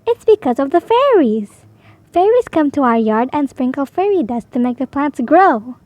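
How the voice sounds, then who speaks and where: A woman reading a bedtime story aloud to children in an expressive, animated way. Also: high voice, normal speed, no echo. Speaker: storybook reader.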